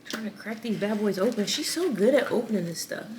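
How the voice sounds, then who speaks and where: A woman's voice: medium pitch, animated, brisk, a little mellow, a little hiss or hum. A young woman vlogger chatting casually and teasing over a seafood meal, with a playful drawl. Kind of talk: casual mukbang chat.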